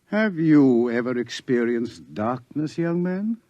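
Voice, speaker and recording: Old-radio scientist type, up and down in pitch, dry sound, kinda halting. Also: male voice, expressive, mellow, dry recording, lo-fi sound. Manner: hesitant, explaining. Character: male professor, scientist, wry and grave. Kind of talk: 1950s radio drama acting.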